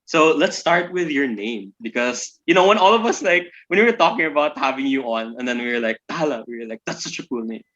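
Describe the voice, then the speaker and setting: A man's voice: mid-range voice, lively intonation, a little fast, hardly any pauses, a bit bright. A young Filipino man with a Filipino accent hosting a casual interview, chatty and upbeat, full of "like" fillers. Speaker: podcast host. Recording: dull lo-fi sound.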